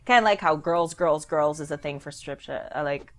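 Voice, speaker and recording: A woman's voice: low-pitched, moderate pace. Rambling young woman. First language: Filipino. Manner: rambling, chatty, with joking asides. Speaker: vlogger. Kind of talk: casual commentary vlog.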